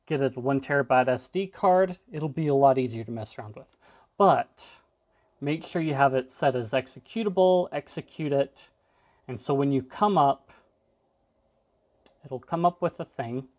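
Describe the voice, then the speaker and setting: A man's voice: mid-range voice, normal speed, a little mellow. A man giving a casual, off-the-cuff tech demo, rambling a little and trailing off mid-thought. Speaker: tech video creator demonstrating a device.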